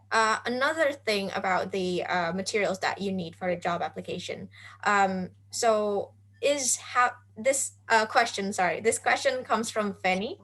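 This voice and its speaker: A woman's voice: mid-range voice, fairly bright tone. A woman with a mid-range voice moderates a panel Q&A. She reads out audience questions and hesitates often between phrases. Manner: hesitant, lots of "um"s.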